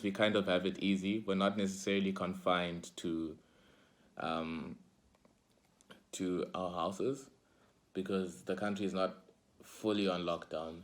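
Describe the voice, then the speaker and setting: Very deep-voiced young man. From Zimbabwe. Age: 20s. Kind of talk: self-recorded reflection.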